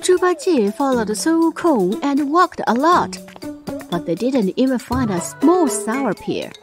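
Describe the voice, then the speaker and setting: Lively high-voiced woman telling children's stories. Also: unhurried. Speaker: storyteller. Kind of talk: animated scripted children's story narration. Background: children's music.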